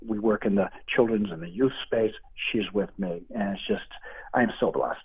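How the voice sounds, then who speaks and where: A man's voice: lowish voice, moderate pace, breathy edge. Earnest Boston man on a phone line. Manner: earnest, faith-filled. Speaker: guest. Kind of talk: interview over the phone.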